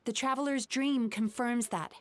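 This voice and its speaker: A woman's voice: a little high, fairly even intonation, close to an everyday voice. Woman playing a composed, caring young therapist. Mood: grave. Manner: measured, polite, earnest. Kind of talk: video game voice acting.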